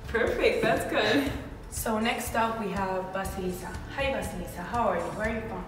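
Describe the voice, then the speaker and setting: A woman's voice: mid-range voice, normal speed. A woman playing an upbeat reporter in a scripted mock news interview. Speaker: student playing a survey reporter. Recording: echoey hall from far off, music behind.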